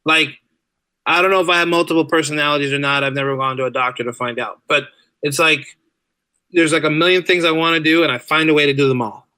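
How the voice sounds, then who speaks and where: Bright, higher-voiced man chatting. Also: steady tone, fast, smooth, no echo. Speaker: podcast guest, writer and audio drama creator. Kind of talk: casual interview chat.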